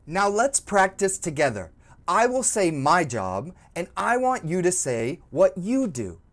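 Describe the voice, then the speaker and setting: A woman with a low voice that rises and falls a lot, teaching an English lesson. She speaks slowly and clearly, prompting the learner and praising each try. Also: sing-song. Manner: encouraging, repeats and prompts the learner. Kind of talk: slow, clearly spoken language lesson.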